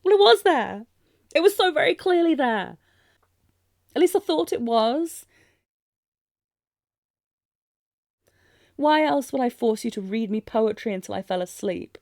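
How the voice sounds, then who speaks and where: A woman's voice: on the high side, expressive, moderate pace, creaky, darkish tone, no echo, studio quality. A woman playing a refined, earnest young fantasy princess in a romantic audio roleplay, speaking with theatrical exclamations and genteel, old-fashioned phrasing. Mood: emotional yearning.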